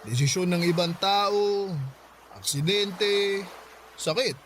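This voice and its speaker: High-pitched tipsy, musing uncle. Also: male voice, slow pace, fairly bright tone, slight background noise. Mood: reflective, resigned. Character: drunk, heartbroken middle-aged uncle, reflective and philosophical. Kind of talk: Filipino radio drama dialogue.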